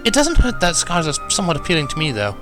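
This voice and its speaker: Man as a genteel, high-voiced lady unicorn. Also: wildly swooping pitch, music in the background. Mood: approving. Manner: genteel, measured, proper. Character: refined, caring, ladylike female cartoon unicorn.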